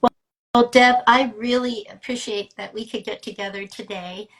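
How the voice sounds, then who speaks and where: A woman's voice: a little high, fairly even intonation, moderate pace, fairly bright tone. A woman hosting a live online interview in a conversational style, speaking as a storyteller and speaking coach.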